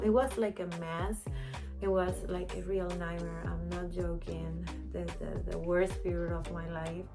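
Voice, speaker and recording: A woman in her thirties from Panama, with a Panamanian Spanish accent, talking candidly to camera as a vlogger with many fillers. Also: fairly low pitch. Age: 30s. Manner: chatty, candid, frequent fillers like "you know". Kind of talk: casual chat to camera.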